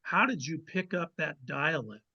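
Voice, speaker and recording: A man's voice: on the high side, animated, moderate pace, husky. A man speaking as an author guest in casual podcast conversation, on a slightly lo-fi recording. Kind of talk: casual interview chat. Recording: slightly dull, lo-fi audio.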